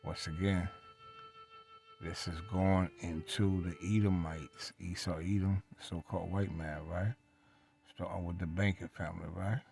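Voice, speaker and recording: A man reading scripture aloud and commenting on it, phrasing deliberately and haltingly, with long pauses. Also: low voice, flat delivery, creaky, darkish tone, soft background music. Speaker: religious reader and commentator. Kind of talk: slow scripture read-along with commentary.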